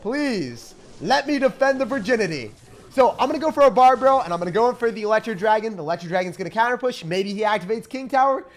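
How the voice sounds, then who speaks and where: High-pitched, animated young male gamer. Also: fast talker. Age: young adult. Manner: hyped, playful slangy delivery. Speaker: gaming video host. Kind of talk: excited gameplay commentary.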